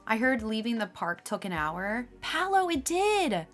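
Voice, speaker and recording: A woman streaming live, chatting casually with her viewers and laughing often, her voice a little raspy. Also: on the high side, sing-song, measured pace, faint music behind. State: slightly raspy voice.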